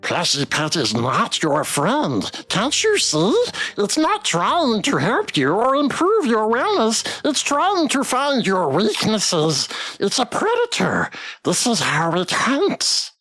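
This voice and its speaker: A woman's voice: mid-range voice, wildly swooping pitch, slow, a bit bright, no echo, heavily acted, low-quality recording. A woman voicing a small, frightened old toy from a visual novel, giving urgent, dramatic warnings. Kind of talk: visual novel character voice read aloud.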